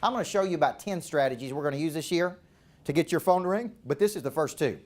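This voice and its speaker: A fairly high-voiced man with a Southern American accent coaches a live event crowd. He talks in short, punchy bursts and keeps checking in with the room. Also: expressive, fast. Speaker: business coach. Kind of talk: live seminar talk to a room.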